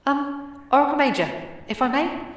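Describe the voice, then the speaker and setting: A woman playing a stern, shrewd mother in a fantasy audio drama, speaking in a measured, persuasive way with dry affection. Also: sits high, lively intonation. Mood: formal, restrained. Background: music.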